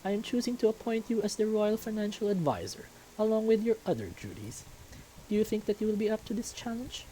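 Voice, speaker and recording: Guy doing a kindly refined king from a fantasy game, formal n measured, voice pushed way up high, noisy recording. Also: sing-song, mellow. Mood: gracious, pleased.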